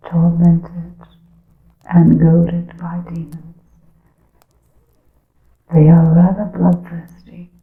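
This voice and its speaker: A woman voicing a wry, persecuted witch of an old coven in a breathy ASMR whisper, with deliberate, archaic, formal wording. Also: fairly low pitch, exaggerated voice. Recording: muffled narrow-band lo-fi, echoey.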